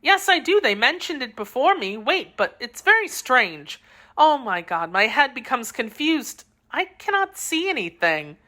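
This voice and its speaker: Audiobook: woman reading a dying young heroine, high, bright, breathless. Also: big pitch swings, measured pace, heavily acted. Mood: faint, desperate.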